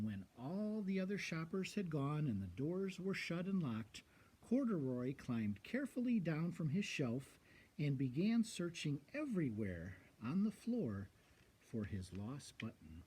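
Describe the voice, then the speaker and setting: A man reads a picture book to children as a guest storyteller. His delivery is very animated, with a mellow, slightly husky voice, and he often turns to ask young listeners questions. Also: a little high, unhurried, low-quality recording. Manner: expressive, child-directed.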